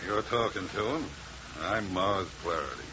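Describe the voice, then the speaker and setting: A muffled old radio recording carries a tough American oil wildcatter. His voice is very low yet swings widely, with a folksy, sardonic, cynical edge, in 1950s drama style. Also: animated, moderate pace, noisy background. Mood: sardonic, dismissive. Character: gruff, cynical male oil wildcatter, grudgingly cooperative. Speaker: supporting actor.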